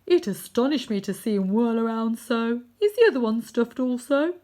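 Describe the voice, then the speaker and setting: A woman's voice: a little high, expressive, moderate pace, dark tone, voice pushed up, lightly acted. A woman voicing a big, timid male lion in a children's storybook, mournful, plaintive and emphatic. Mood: surprised, curious. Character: big, sorrowful, timid male lion, gloomy and self-pitying. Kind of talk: children's storybook character reading.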